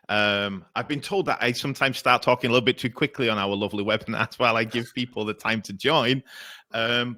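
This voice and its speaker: A man hosting a webinar over a video call, chatty and filling pauses with lots of ums and restarts. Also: mid-range voice, pushed and bright. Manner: chatty, many fillers and restarts. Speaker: webinar host.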